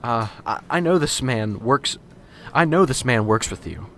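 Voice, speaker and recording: A man's voice: medium pitch, lively intonation, unhurried, fairly bright tone. A man voicing a nervous, unsure male professor in an amateur radio drama, stammering and hesitant. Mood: jittery. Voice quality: stammering, hesitant, fumbling for words. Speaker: professor bringing a lead.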